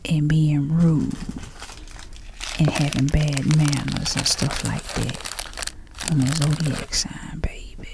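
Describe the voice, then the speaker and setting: A woman with a Southern US accent doing chatty ASMR, speaking softly and half-whispering like a folksy auntie giving advice. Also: deep voice, gravelly, low-quality recording. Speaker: ASMR creator packing orders.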